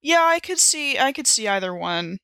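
A woman with a bright, forward voice talks through her moves in a tabletop game. She is informal and thinks aloud. The recording is dry and close. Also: high-pitched, very animated, normal speed, smooth, dry recording. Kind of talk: casual game chat.

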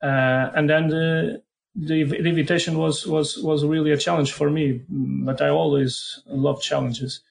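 A middle-aged man with a strong Portuguese accent speaks English as a second language. His tone stays flat and even, with little rise or fall. He stops often, restarts words and fills gaps with "uh" while he searches for them. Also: a little high, unhurried, gravelly. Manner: hesitant, many fillers and repeated words. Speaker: head of football performance analysis. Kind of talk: podcast interview answers.

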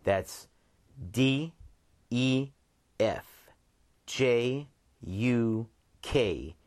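A man hosting a podcast in casual solo talk, laid-back and halting, speaking in short phrases. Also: medium pitch, darkish tone, no room sound, studio quality. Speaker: podcast host.

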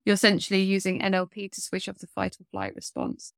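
A woman's voice: medium pitch, sing-song, fast talker, gravelly, a bit bright, no room sound, studio quality. A woman in her forties, a coach speaking as an interview guest, talking earnestly with a blocked-up nose and many fillers. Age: 40s. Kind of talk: casual interview chat.

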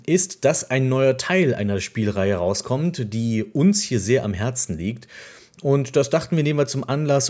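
A man hosting a gaming podcast, talking casually and playfully, his voice croaky from a cold. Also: medium pitch, a little mellow. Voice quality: husky. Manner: chatty, playful, many fillers. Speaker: podcast host.

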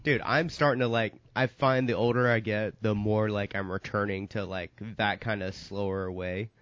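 A man's voice: on the low side, steady tone, normal speed, fairly bright tone, no echo, highs cut off. A man from the American South co-hosting a casual radio talk show, teasing and laughing, stuffed up from his sinuses. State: congested from sinuses.

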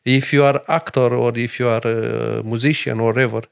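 A man's voice: medium pitch, steady tone, creaky, clean tone. Earnest Romanian man in broken English. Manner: broken English, earnest and emphatic, many pauses. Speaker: restaurant owner and chef. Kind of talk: podcast interview.

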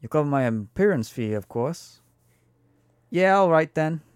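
A man's voice: a little high, animated, unhurried, clear, resonant tone, no room sound, studio quality. A man voicing an indignant, foul-mouthed devil moose with Brooklyn swagger and lisped R sounds, sarcastic and condescending in a comedy sketch. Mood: pompous, aggrieved.

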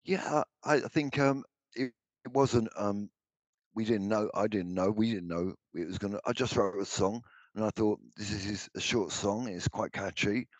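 An older man with a deep baritone voice, answering interview questions about his songs, hesitant with many restarts. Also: normal speed, dry recording. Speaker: musician guest.

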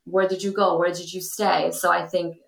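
A young woman talks in a low-pitched, gravelly voice, chatty and full of ums and you-knows. She speaks casually as a podcast guest, and her voice rings a little in an echoey room. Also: level tone, normal speed, fairly bright tone. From Portugal. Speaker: guest, influencer. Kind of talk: casual interview talk.

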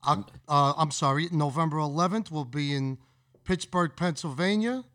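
A man's voice: a little high, fairly bright tone. Man in his sixties reading a list. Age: 60s. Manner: reading a list, a bit halting. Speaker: studio guest.

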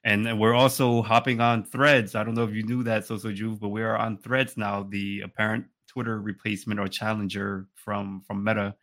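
A man co-hosting a podcast, chatting casually and telling stories in an animated way, often repeating his phrases. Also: lowish voice, normal speed, hardly any pauses. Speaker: podcast co-host.

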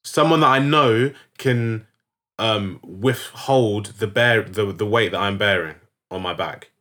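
A man's voice: lowish voice, slow pace, a bit bright. A young man in a casual podcast chat, explaining his views at length and swearing casually. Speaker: podcast co-host.

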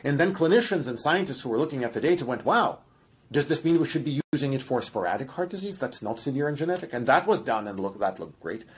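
A man's voice: mid-range voice, fast, breathy edge. A middle-aged man with a Russian accent, explaining animatedly as a guest scientist in a podcast conversation. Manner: animated, explains with examples and asides.

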